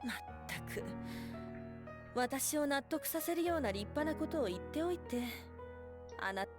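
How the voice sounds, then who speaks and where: A woman's voice: high-pitched, expressive, quick pace, over music. A woman playing a haughty, irritated female fox spirit in a Japanese visual novel, speaking in a scolding, dismissive manner. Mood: irritated, scornful.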